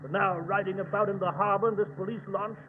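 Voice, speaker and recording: Man doing fussy bemused english doctor sidekick, quite high voice, tinny old radio drama. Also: fairly even intonation, fast, lightly acted. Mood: grumbling, uneasy. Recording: tinny, noisy, reverberant old radio recording.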